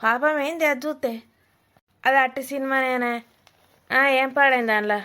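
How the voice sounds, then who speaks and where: A woman's voice: high voice, moderate pace, sharp tone. A woman playing a blunt, nosy older village mother-in-law with a Telangana Telugu accent, scolding and mocking in a comedy cartoon.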